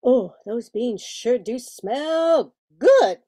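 A woman playing an excitable visiting relative in an amateur radio play, with sing-song, exclamatory delivery. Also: on the high side, extremely slow, exaggerated voice. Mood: gleeful, excited.

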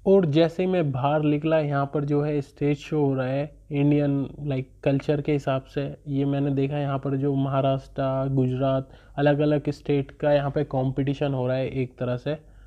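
A man's voice: mid-range voice, flat delivery, moderate pace. A young man chatting casually in a travel vlog, rambling with loose fillers as he walks viewers around. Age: young adult. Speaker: vlogger. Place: small room in front of a curtain, then walking through an expo. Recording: tinny phone-like sound.

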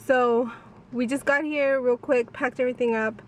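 Chatty girl in her 20s doing a beauty vlog, lots of "like", high voice. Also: fairly even intonation, normal speed, poor audio. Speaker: beauty vlogger. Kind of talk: casual vlog chat. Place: car, home and stores. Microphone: handheld camera at arm's length.

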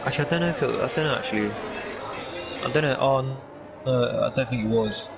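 Young lad rambling and swearing on a gaming stream, loud music going behind. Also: male voice, mid-range voice, fast, gravelly. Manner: rambling, swears freely, teasing. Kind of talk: casual banter while gaming.

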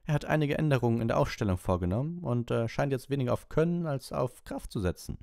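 A man voicing an excitable, partisan schoolboy sports commentator in a storybook, with breathless, exclamatory play-by-play. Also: medium pitch, fast, vocal fry, dry recording, studio quality, voice pushed up. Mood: rousing announcing.

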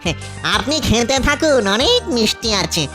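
A woman's voice: a little high, sing-song, normal speed, fairly bright tone. A woman voicing a naive but sly young male ghost in a cartoon, with a whiny, childlike, theatrical way of pleading. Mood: sweetly sly. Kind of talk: cartoon voice acting. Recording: music behind the voice.

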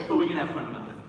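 A man hosting a casual stage interview in a jokey, chatty way. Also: high-pitched. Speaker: panel host. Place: large convention hall stage, recorded from the audience. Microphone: distant camera in the audience. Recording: echoey hall from far off, crowd noise.

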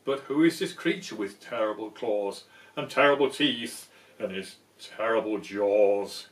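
Slow, expressive older man reading a story. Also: fairly low pitch. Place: small room indoors full of soft toys. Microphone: camera a short distance away. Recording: roomy, distant microphone.

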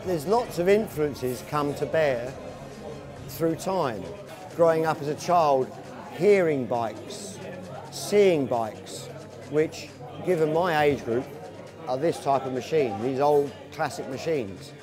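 A middle-aged man speaks slightly high in pitch, telling his story slowly and reflectively. He sits indoors in a cafe for a documentary interview. A clear music bed runs underneath his voice. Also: lively intonation.

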